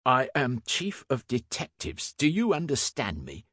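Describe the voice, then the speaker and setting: A commanding Victorian police inspector, acted by a male audiobook reader, gruff and short-tempered. He speaks bluntly and imperiously, with big swings in pitch. The sound is dry. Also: lively intonation, normal speed, fairly bright tone, no echo. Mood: indignant.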